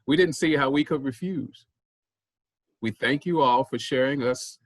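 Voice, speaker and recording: Male actor as humble farmer-storyteller, mid-pitched, earnest formal address, theatrical monologue, dry recording. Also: measured pace, dry recording, close to an everyday voice. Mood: grateful, humble. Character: humble farmer leading a traveling troupe of storytellers. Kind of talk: theatrical monologue at a staged play reading.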